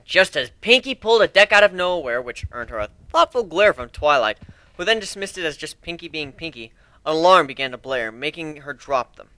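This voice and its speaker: Bright, higher-pitched man reading a story. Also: lively intonation, a little fast, dry recording. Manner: theatrical, acts out dialogue. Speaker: amateur story reader. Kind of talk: dramatic reading of fan fiction.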